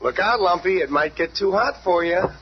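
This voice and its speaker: Cocky kid superhero, high lively voice, muffled old radio play. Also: male voice, moderate pace, slight background noise. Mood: teasing. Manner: boyish, brash. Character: cocky, teasing young superhero kid brother. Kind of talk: 1970s radio drama acting. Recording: muffled old radio recording, background clamor.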